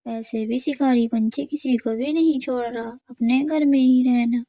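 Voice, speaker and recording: A woman voicing a kind young female sparrow who talks in a lively, concerned way. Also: fairly high pitch, moderate pace.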